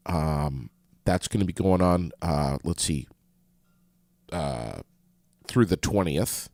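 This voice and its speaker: A man with a very low, gravelly voice that swings widely in pitch, sounding stuffed up. He co-hosts a casual local radio chat, loose and joking. The studio sound is close and dry. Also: lively intonation, slow, darkish tone, dry recording. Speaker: radio show co-host.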